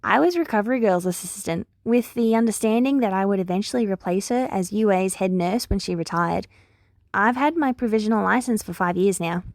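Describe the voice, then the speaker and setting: A woman's voice: medium pitch, brisk, no echo, natural voice. A woman voicing an earnest, wounded young heroine from the future in a story, speaking firmly and a little wryly. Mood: resolute. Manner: prompt, firm, wry.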